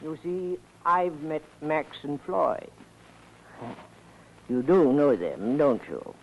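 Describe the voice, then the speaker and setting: A man's voice: fairly high pitch, lively intonation, slow, creaky, heavily acted. An actor playing a desperate, cornered man driven to kill, in tense and polite 1940s radio drama style. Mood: menacing. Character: desperate, cornered man turned reluctant avenging killer. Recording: dull old-time radio recording.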